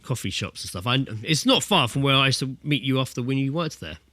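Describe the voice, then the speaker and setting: Casual podcast co-host: southern English man, bright chatty voice, jokey rambling delivery, close and dry sound. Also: mid-range voice, animated, fairly quick, no echo. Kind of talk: casual comedic podcast chat.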